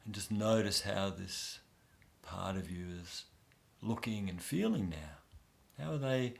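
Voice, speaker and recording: A man guiding a soft, soothing inner-child meditation with long pauses. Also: on the low side, animated, gravelly. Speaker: meditation guide.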